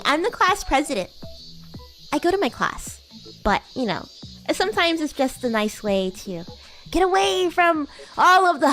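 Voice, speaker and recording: Woman playing a high, girlish bratty demon schoolgirl. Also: fairly bright tone, poor audio. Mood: playful, coy. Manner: playful, giggly, teasing. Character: bratty young demon schoolgirl class president with baby wings. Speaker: VTuber streamer. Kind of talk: in-character roleplay livestream chat.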